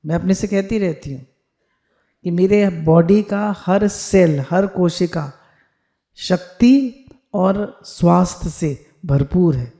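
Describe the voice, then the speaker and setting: A woman's voice: fairly low pitch, slow, mellow. A woman spiritual teacher on a video call, leading listeners through affirmations they repeat after her, mixing Hindi with English phrases.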